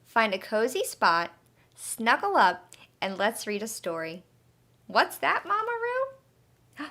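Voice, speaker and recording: A woman's voice: on the high side, pushed and bright. A woman leading a children's lesson and storytime, speaking expressively in simple questions and instructions, like an encouraging teacher. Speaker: children's health educator and storyteller.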